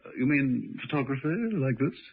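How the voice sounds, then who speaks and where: An actor playing a suave British secret agent with a dry, gentlemanly, bantering manner in a radio drama. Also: male voice, mid-range voice, steady tone, normal speed, gravelly, close to an everyday voice. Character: suave, urbane British male secret agent, drily teasing. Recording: dull lo-fi old radio recording.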